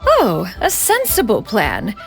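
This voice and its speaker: A woman voicing a proud, regal immortal master in a video game, speaking crisply in a haughty, formal manner. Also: medium pitch, huge pitch swings, slow pace, soft background music, full character voice. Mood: composed, approving. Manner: haughty, formal, refers to self as one.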